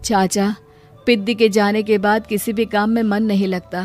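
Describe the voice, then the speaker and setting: A woman voicing a patient, self-sacrificing poor brother in a story, gentle and earnest. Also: mid-range voice, level tone, moderate pace, faint music behind, natural voice.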